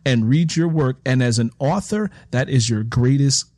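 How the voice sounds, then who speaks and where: A man with a medium-pitched voice hosts a conversational interview. He explains his points reflectively as a fellow writer, often circling back over his phrases. The recording is very dry, without room echo. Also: moderate pace, darkish tone.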